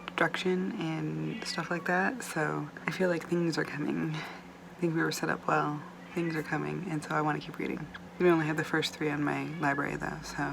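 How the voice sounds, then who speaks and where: Low gravelly woman chatting casually. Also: noisy background. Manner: chatty, rambling, swears casually, drops to whispers. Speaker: book vlogger. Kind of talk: casual book review chat.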